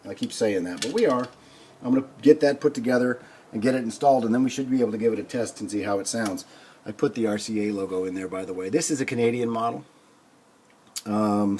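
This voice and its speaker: A man hosting a hands-on repair video, explaining things informally and thinking aloud with hesitant pauses. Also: on the low side, a little mellow, a little hiss or hum. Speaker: host of a record player restoration video. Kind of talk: casual hands-on demonstration.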